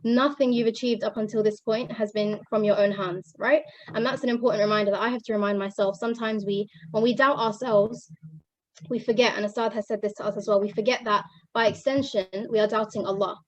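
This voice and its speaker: A woman's voice: mid-range voice, fairly even intonation, a little fast, no echo. A young woman from Pakistan whose first language is Urdu gives an earnest testimonial to fellow students, with many fillers and restarts. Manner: earnest, many fillers and restarts, switches between Arabic and English.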